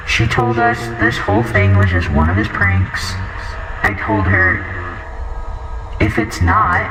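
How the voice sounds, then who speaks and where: A man playing a haunted, brooding outcast who recounts a terrifying night in a first-person horror audio drama. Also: low-pitched. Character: haunted outcast male friend recounting a terrifying house incident. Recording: roomy distant voice under loud music.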